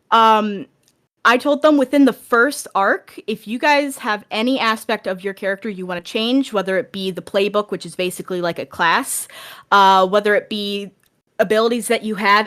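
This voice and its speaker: Female podcast guest, medium pitch, conversational, explanatory and encouraging game master. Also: fairly bright tone. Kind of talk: casual podcast discussion.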